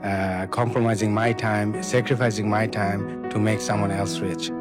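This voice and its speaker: A man from Bangladesh giving a calm, reflective testimonial. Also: fairly low pitch, moderate pace. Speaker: online business owner giving a testimonial. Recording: background music.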